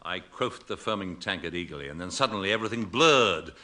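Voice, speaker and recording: A man's voice: sits low, expressive, fairly quick, bright, forceful tone. An actor playing a naive, polite young would-be sailor, narrating his adventure straight-faced in a 1960s radio comedy. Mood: dramatic narrating. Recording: very noisy old radio recording.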